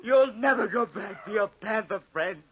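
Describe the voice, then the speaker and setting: A man's voice: sits high, normal speed, a crowd in the background, natural voice. A man acting an impassioned, lovesick soldier losing his mind in the desert, in a melodramatic 1940s radio drama style. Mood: anguished grief. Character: lovesick, unhinged soldier stranded in the desert with a panther. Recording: muffled, noisy 1940s radio recording.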